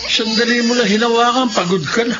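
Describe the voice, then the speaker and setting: A man's voice: extremely high pitch, steady tone, fairly quick. An actor playing an older father and grandfather who is blunt, chiding and doting, in a radio drama. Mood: chiding, fond. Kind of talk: Filipino radio drama acting. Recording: dull lo-fi radio, faint noise.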